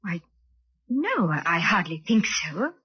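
Theatrical lady, animated and husky-toned, precise diction, old-time radio drama with a dull vintage sound. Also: on the low side, slow pace, full character voice. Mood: anxious. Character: earnest young wife and imperious grand stage actress.

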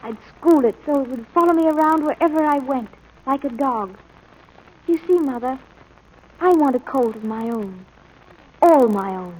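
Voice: high-pitched, animated, normal speed, long pauses, breathy edge, low-quality recording. A performer playing an earnest, dreamy young ranch boy in a 1940s radio drama, boyish and hesitant when making excuses. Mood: longing. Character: eager, dreamy young ranch boy, apologetic to his father.

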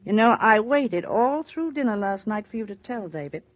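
A woman's voice: medium pitch, lively intonation, normal speed, lightly acted. Actress for wry, disapproving mother, middle-aged. Dry, precise 1940s radio delivery, lo-fi sound. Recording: dull, lo-fi vintage 1940s radio.

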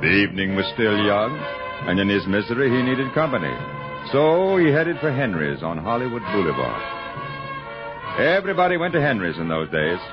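Deep, wry radio-drama narrator. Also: male voice, very animated. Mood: wry, suspenseful. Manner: wry, unhurried, storytelling. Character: wry male storyteller of Hollywood thriller. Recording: muffled old radio broadcast, musical backing. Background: music.

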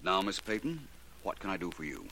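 An actor playing a courteous, cool private investigator in a 1940s radio drama, speaking crisply and without fluster. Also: male voice, deep voice, very animated, fairly quick. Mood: cool, probing. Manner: polite, crisp, unruffled. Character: Philo Vance, suave adult male private investigator, courteous, cool. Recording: noisy vintage 1940s radio recording.

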